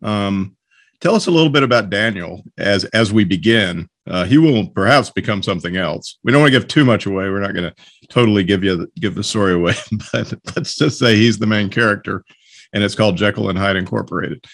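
A man's voice: on the low side, a little fast, gravelly, fairly bright tone. A man working as a podcast host, reading announcements and hosting an author interview.

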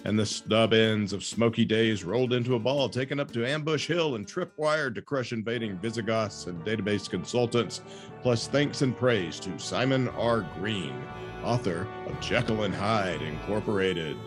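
A man's voice: fairly low pitch, moderate pace. A man reading a scripted podcast sign-off as host, in a wry, florid announcing manner. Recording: guitar music under voice.

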